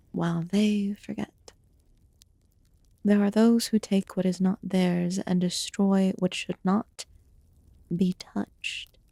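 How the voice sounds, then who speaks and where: Slow, soft-spoken fae witch, acted by a woman. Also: medium pitch, no room sound. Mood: grave, measured. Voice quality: soft, breathy, often dropping to a whisper. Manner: formal, archaic, deliberate phrasing. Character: aloof, measured female fae witch healer, proud yet curious. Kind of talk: ASMR fantasy roleplay.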